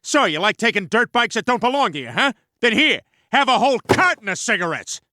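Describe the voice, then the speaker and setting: Cartoon dad, nasal, loud, indignant, bright, lively. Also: male voice, high voice, fairly quick, slight background noise, exaggerated voice. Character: loud goofy middle-aged dad.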